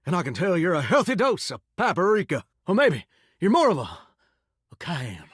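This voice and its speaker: A man's voice: sits high, wildly swooping pitch, moderate pace, husky, no room sound, heavily acted. A man voicing a talking shower as a chatty, folksy cowboy charmer with a put-on Southern drawl, full of country sayings. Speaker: video game character. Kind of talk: game voice lines. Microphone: close studio mic. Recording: dry studio recording.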